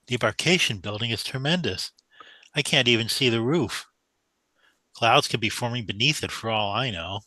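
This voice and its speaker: A man's voice: mid-range voice, moderate pace, sharp tone, no echo. An actor playing a young male newcomer, awed and unsure, in a science fiction audio drama. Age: young adult.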